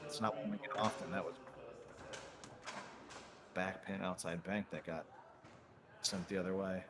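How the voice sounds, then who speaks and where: A man's voice: low-pitched, fairly even intonation, measured pace, over music. A man in his thirties from Connecticut, calling live table soccer in an easygoing, chatty way. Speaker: commentator. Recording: live venue noise behind.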